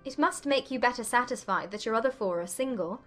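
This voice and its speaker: A woman's voice: fairly high pitch, lively intonation, brisk, faint music behind, voice pushed up. A woman voicing a witty, poised young lady in a dramatized audiobook, arch and composed. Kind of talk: dramatized audiobook character acting.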